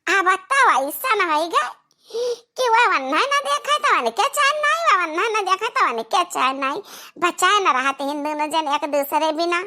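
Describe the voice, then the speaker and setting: A woman's voice: very high-pitched, big pitch swings, unhurried, bright, forceful tone, heavily acted. A woman with an Awadhi accent voicing a quarrelsome, gossiping young village woman in a cartoon comedy, dramatic and scolding. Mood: gleeful, scheming. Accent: Awadhi, eastern Uttar Pradesh. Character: scheming, gossiping young village daughters-in-law and sister-in-law, quarrelsome.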